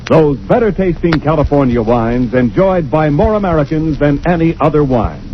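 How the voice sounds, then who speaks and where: A man giving a dramatic 1940s radio introduction that pushes the sponsor. His voice is slightly high and very animated. The sound is dull and lo-fi, with faint music behind. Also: moderate pace, a little hiss or hum. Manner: dramatic, selling. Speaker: radio announcer.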